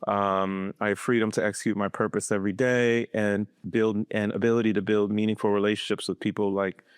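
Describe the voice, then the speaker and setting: A man in his fifties from Boston, telling his life story as a podcast guest in a reflective, measured way. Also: mid-range voice, fairly bright tone, clear, resonant tone, no room sound, studio quality. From Boston, USA. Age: 50s. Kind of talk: casual conversational interview.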